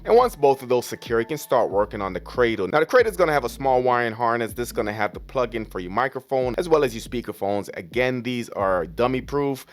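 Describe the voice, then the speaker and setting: A man's voice: medium pitch, lively intonation, fast, hardly any pauses. A man giving a casual, informal voice-over as a vlogger, walking step by step through a product unboxing and setup.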